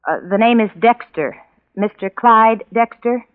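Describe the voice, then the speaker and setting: A woman's voice: a little high, a little slow, lightly acted. An actress playing a practical, loyal young woman in a 1940s radio drama, speaking in an earnest, coaxing manner. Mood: businesslike. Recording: tinny band-limited old radio recording.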